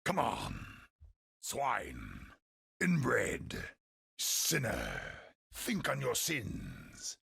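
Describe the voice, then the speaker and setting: Gravelly, zealous crusader villain. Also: male voice, mid-range voice, huge pitch swings, drawn-out words, long pauses, sharp tone, no echo, clean studio sound, exaggerated voice. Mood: cold menace. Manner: haughty, imperious, menacing, declamatory. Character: zealous, cruel, commanding male military crusader villain. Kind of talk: theatrical video game boss barks.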